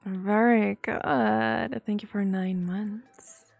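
A woman in her thirties from Sweden with a Swedish accent, chatting casually and giggling as she reads viewer messages on a live stream from a small room, into a desk mic on a boom arm. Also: fairly even intonation, gravelly, a little mellow, soft background music. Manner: giggly, chatty, drawn-out pauses.

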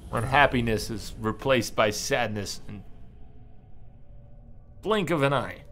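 A man's voice: mid-range voice, slow, a bit bright, faint music behind. A man in his thirties chatting casually with viewers while playing a video game, with playful, exclamatory commentary. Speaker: video game live streamer. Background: fantasy video game sounds.

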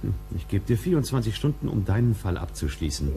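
Low, grim police boss. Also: male voice, big pitch swings, brisk, warm tone, faint music behind. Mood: grim, pressing. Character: grim male police boss, stern and pressing. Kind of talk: crime radio drama dialogue.

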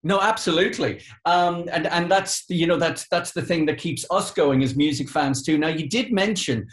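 A man's voice: on the high side, moderate pace. A British man in his fifties interviewing conversationally as a radio host, chatty and wordy. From United Kingdom. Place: living room on a sofa, indoors. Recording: echoey room on video call.

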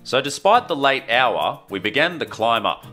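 A man's voice: mid-range voice, big pitch swings, moderate pace. A man narrating his travel vlog in an enthusiastic, storytelling voice-over. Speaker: travel vlogger. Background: faint guitar music.

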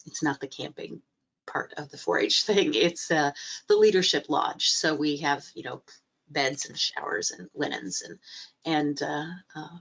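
A woman's voice: deep voice, a bit bright. A middle-aged woman, recovering from a cold and a little stuffy, giving a hesitant webinar talk full of fillers and restarts over a video call. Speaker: guest speaker, library trainer. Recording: muffled video-call audio.

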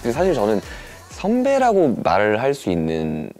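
A thirty-year-old man hosting a casual chat over drinks, soft-spoken and often murmuring quiet agreement, in a small indoor bar set. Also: medium pitch, huge pitch swings, normal speed, soft background music. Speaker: host.

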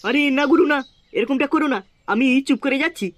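A man's voice: very high-pitched, fast talker, a bit bright. A man acts out a comedy cartoon sidekick, a cheeky fellow. He needles and placates in a fawning way. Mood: pleading. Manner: teasing, then placating. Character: cheeky, fawning male sidekick of a gang boss.

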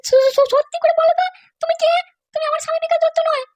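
A woman's voice: very high voice, steady tone, fast, over music. A woman voicing a defensive, pleading wife in a comedy cartoon, full of excuses. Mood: disbelieving.

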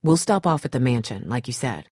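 A tough young woman survivor from a video game drama, protective and blunt. Her voice is husky and swings widely in pitch, recorded close and dry. She speaks in terse, urgent lines with a sardonic edge. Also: lively intonation, fairly quick, a little mellow, no echo. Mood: tense, guarded.

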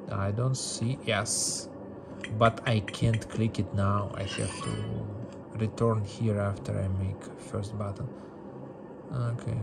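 Slow-paced man over loud game music. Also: fairly low pitch, vocal fry. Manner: repeats short phrases, chatty. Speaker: gaming video host. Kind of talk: casual gameplay commentary.

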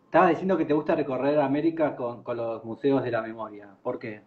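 A man's voice: mid-range voice, fairly even intonation, fast. A man in his forties hosting a casual interview over a roomy, lo-fi video call. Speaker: interviewer, host. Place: indoor room, on a video call.

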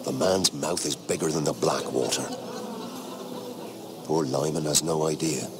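An actor playing a courteous, wary middle-aged lord in a fantasy game, confiding gossip discreetly. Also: male voice, very deep voice, fairly even intonation, a little slow, noisy background. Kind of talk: dramatic fantasy game acting.

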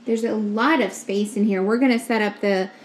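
A young woman giving an upbeat, slow walkthrough of a hotel suite, pointing things out as she goes, recorded on a handheld camera. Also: mid-range voice, steady tone, a little hiss or hum. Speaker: vlogger giving a room tour. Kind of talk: casual walkthrough narration. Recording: echoey rooms with faint ambience.

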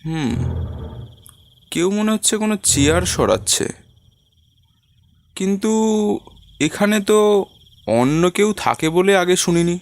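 A man's voice: sits high, animated, slow pace, pauses often, full character voice. A man playing a frightened lodger who tells his own ghost story in a dramatic horror cartoon style. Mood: uneasy. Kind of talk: dramatised first-person horror cartoon narration. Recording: music under the voice.

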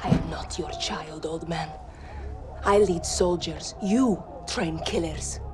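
A woman's voice: on the low side, slow pace, background noise. A woman with a Middle Eastern accent playing a stern, principled young rebel commander in a video game. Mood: stern, defiant. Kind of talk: dramatic game cutscene acting.

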